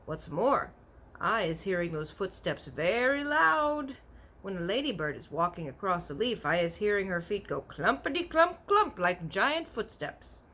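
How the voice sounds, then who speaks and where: A woman's voice: mid-range voice, sing-song, measured pace, pushed and bright, full character voice. A woman voicing a kindly, big-eared storybook giant who is earnest, proud and easily offended, talking in folksy broken grammar with invented words. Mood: wonder, earnest. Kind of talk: animated children's storybook character voice.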